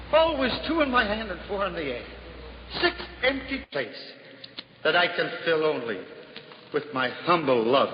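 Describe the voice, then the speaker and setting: An actor playing a humble, simple-hearted juggler in a 1950s radio drama, earnest and self-deprecating. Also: male voice, slight background noise. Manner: earnest, self-deprecating, lively swings in pitch. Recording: dull old radio broadcast with hall reverb and music.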